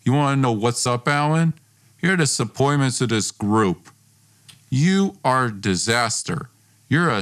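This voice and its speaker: A man's voice: mid-range voice, a bit bright, natural voice. A man doing a bossy, boastful young guy. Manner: bossy, belittling, self-important. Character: domineering, boastful, scornful young man, a former best friend. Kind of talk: acted dialogue in a storytelling podcast.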